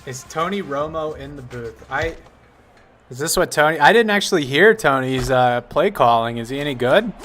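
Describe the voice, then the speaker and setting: A man's voice: on the high side, expressive, normal speed, a bit bright. A man giving casual color commentary on a football broadcast, talking in a chatty, rambling way with frequent restarts.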